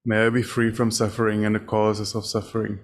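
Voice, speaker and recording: A man leading a guided meditation as a teacher, speaking in short, measured phrases with long pauses between them. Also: lowish voice, monotone. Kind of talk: guided meditation, spoken instruction.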